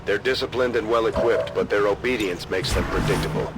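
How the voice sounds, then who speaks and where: An actor playing a stern, businesslike military commander giving measured, authoritative mission briefings in a video game. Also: male voice, on the low side, loud music behind the voice, lightly acted. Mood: serious, resolute.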